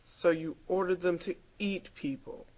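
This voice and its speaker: A woman's voice: fairly low pitch, steady tone, unhurried, a little mellow, rough recording. A woman playing a brash, sarcastic, foul-mouthed young hero in a video game cutscene. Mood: incredulous. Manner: sarcastic, irreverent.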